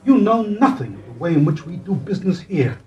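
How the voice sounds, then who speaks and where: A man's voice: sits high, very animated, brisk, darkish tone, highs cut off. A man playing an indignant branch manager who defends himself against his boss in a radio play, recorded from a distance. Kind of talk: amateur radio play acting. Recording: voice from far off the mic.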